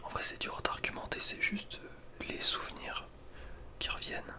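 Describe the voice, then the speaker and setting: A man's voice: moderate pace, sharp tone. A man whispering casual, slangy ASMR commentary close to the microphone, with hesitant asides. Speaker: ASMR content creator.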